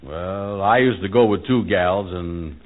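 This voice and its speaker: A man's voice: low-pitched, unhurried. An actor playing a gullible, big-talking male sidekick with a blustery, folksy manner, in 1940s radio comedy style. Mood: indignant, proud. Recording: narrow, tinny vintage radio recording.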